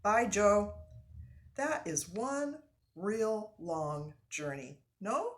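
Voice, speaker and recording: Woman as French-Canadian lumberjack, storybook character, exuberant, French accent, broken English, emphatic, very animated. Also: mid-range voice, drawn-out words. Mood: wistful, musing. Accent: put-on French.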